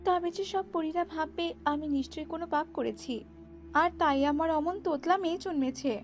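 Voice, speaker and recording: A woman's voice: high voice, moderate pace, highs cut off, soft background music, pitched above the performer's own voice. A woman voicing a proud, cold fairy queen in a cartoon, speaking in a haughty, defensive, commanding way. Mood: angry, defensive. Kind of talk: cartoon voice acting.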